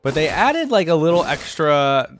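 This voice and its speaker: A man's voice: on the high side, big pitch swings, normal speed. A man giving casual, off-the-cuff game reaction commentary, rambling as he thinks aloud. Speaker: reaction video host.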